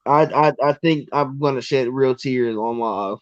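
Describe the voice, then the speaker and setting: A man in his twenties, a guest on a sports podcast, talking casually and excitedly with lots of restarts and ums. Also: mid-range voice, measured pace, dry recording, dull audio. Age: 20s.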